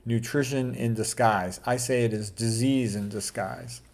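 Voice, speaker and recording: Man, mid-pitched voice, informal medical lecture, blunt and opinionated, colloquial asides, reads quotes aloud. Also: steady tone, unhurried. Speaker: doctor presenting a health lecture.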